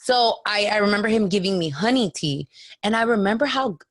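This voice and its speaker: A raspy-voiced woman in her late twenties, a podcast guest, telling a story casually, acting out quotes and swearing freely. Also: medium pitch, expressive, brisk, no echo, studio quality.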